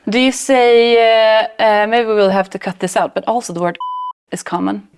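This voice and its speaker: A woman's voice: on the high side, steady tone, normal speed, noisy background. Woman interviewer, jokey and teasing, keeps it light and casual. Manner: teasing, playful.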